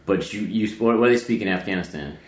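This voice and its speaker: A man hosting a casual conversation, asking relaxed, curious, halting questions. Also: lowish voice. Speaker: host. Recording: roomy, faint ambience.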